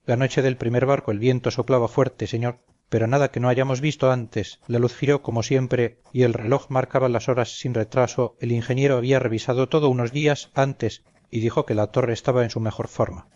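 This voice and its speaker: A man's voice: mid-range voice, steady tone, brisk, few pauses, darkish tone, lo-fi sound, lightly acted. A man playing a dutiful old lighthouse keeper and veteran sailor in an audio story, earnest and deferential, speaking formally. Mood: troubled, grave. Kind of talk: audio story character dialogue.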